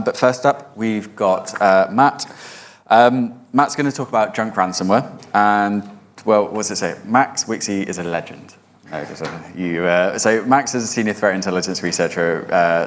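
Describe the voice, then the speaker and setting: A man hosting a conference session, introducing the next speaker with hesitant delivery and joking asides. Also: on the low side.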